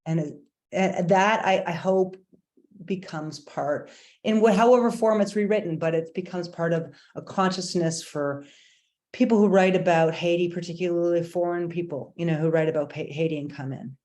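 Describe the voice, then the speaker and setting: Woman panelist, halting and reflective. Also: on the low side, a little mellow. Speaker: panel speaker. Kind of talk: unscripted panel remarks. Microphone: video call.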